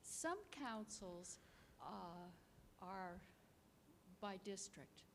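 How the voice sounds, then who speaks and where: A woman's voice: medium pitch, animated, drawn-out words, vocal fry, a bit bright, slight background noise. A woman, a resident asking a polite question at a town meeting. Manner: polite, complimentary. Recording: long reverb of a meeting hall.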